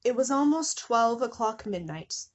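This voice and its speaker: Slightly husky young woman, wryly amused. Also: on the high side, expressive, measured pace. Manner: amused, self-interrupting, mock-suffering. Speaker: host of a small channel. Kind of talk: casual comedic dramatic reading of fan fiction with asides.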